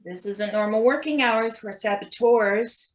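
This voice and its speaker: Wry, sympathetic maintenance worker, played by a woman, highish bright female voice, echoey room, stage table read. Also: unhurried, lightly acted. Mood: dry, teasing. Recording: roomy echo on the voice.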